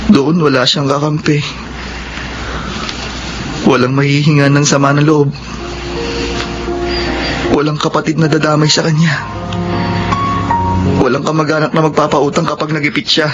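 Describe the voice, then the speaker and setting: An actor playing a troubled young Filipino student, a younger brother, with an earnest and emotional radio drama delivery. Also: male voice, fairly high pitch, flat delivery, normal speed, long pauses, dull audio, natural voice. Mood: remorseful, resolute. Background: dramatic music.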